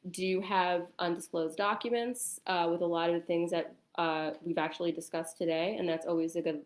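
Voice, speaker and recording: A woman's voice: fairly low pitch, steady tone, normal speed, echoey room. A woman speaking casually as an interview guest on a livestream.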